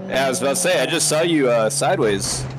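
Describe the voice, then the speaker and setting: A man's voice: mid-range voice, lively intonation, fast talker, music in the background. A man playing an online racing game and chatting casually with friends over race car engines. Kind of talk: casual gaming chat with friends. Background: race car engines from a video game.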